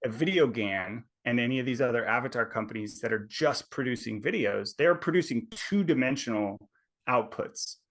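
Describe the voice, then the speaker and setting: A man's voice: mid-range voice, moderate pace, a bit bright. A man being interviewed as a tech executive, explaining at length over a video call and often checking in with "right?". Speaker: tech company executive guest. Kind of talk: conversational explaining.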